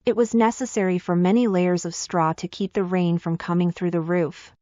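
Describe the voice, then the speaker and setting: A woman's voice: on the low side, steady tone, moderate pace, mellow, dry recording, highs cut off. A woman reading a scripted children's fairy tale as the storyteller.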